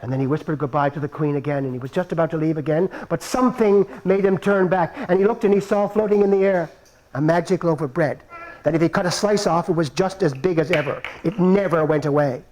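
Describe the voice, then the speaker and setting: Man, storyteller, live puppet show, playful, animated, chuckling asides, slightly high voice. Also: quick pace, low-quality recording. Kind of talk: live folk-tale storytelling. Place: live performance before an audience.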